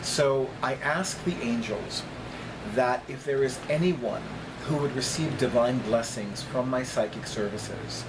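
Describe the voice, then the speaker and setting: A middle-aged man talking casually to camera as a vlogger, with deliberate, emphatic phrasing and frequent repetition. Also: mid-range voice, noisy background. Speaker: vlogger, psychic reader. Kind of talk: casual chatty monologue to camera. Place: small apartment room by a kitchen, indoors.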